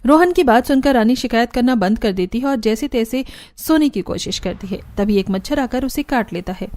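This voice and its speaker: A woman's voice: on the high side, animated, a little fast, darkish tone. A woman working as a narrator, reading a scripted story.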